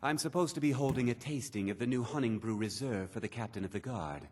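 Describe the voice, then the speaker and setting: An actor playing an irate, sarcastic, put-upon meadery owner in a fantasy video game, scornful and exasperated in manner. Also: male voice, medium pitch, fast, slightly rough, close to an everyday voice. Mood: exasperated, indignant.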